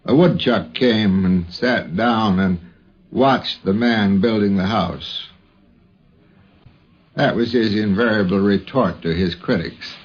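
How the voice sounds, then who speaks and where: A man, a newspaper columnist, reminiscing in deliberate, well-turned literary phrases. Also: lowish voice. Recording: dull 1950s radio recording.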